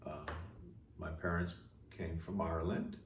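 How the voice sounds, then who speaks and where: Man in his sixties, deep voice, from Chicago, casual podcast co-host, echoey room, faint noise. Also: fairly even intonation, unhurried. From Chicago, United States. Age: 60s. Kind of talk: casual conversation. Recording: roomy, distant mic, faint noise.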